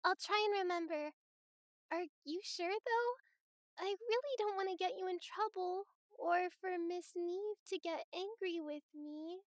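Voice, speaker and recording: A woman's voice: very high voice, a little slow, no echo, studio quality, pitched above the performer's own voice. A woman voicing a small, sensitive, apologetic crybaby girl who speaks in a hesitant, anxious way, in a visual novel reading. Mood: worried, hesitant.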